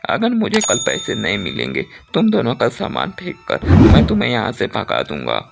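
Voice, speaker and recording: A man's voice: lowish voice, huge pitch swings, normal speed, gravelly, heavy background noise, full character voice. A man voicing a harsh village moneylender, scolding and demanding, in a cartoon story. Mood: angry.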